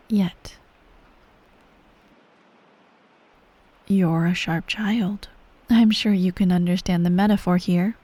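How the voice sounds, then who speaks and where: A cold and manipulative empress , acted by a woman, holds court at a child's bedside in an ASMR roleplay. She speaks in measured, condescending phrases at a middling feminine pitch, often sinking toward a breathy whisper. The sound is dry and intimate, right up at the microphone. Every phrase carries the weight of a stern parent who expects to be obeyed. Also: mellow, no echo. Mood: stern, instructive. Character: cold, manipulative female ruler of an evil empire, stern parent. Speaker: voice actor in a roleplay audio. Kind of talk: ASMR roleplay, slow bedtime storytelling.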